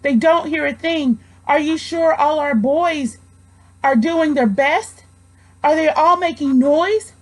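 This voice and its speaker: A woman's voice: high-pitched, takes it slowly, voice pushed up, low-quality recording. A woman voicing an earnest, encouraging male elephant in a storybook, pleading kindly. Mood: worried, questioning. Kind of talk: storybook character voice.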